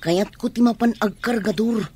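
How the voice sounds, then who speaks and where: A woman's voice: on the high side, normal speed, mellow, slight background noise, close to an everyday voice. A woman playing an ailing, dizzy, reluctant daughter in an Ilocano radio drama, with an Ilocano accent. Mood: weak, complaining.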